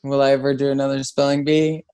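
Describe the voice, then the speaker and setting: A man's voice: mid-range voice, completely flat delivery, fast, mellow, clear, resonant tone. A man co-hosting a casual podcast, goofy and playfully confident. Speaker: podcast co-host. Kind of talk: casual chat among friends.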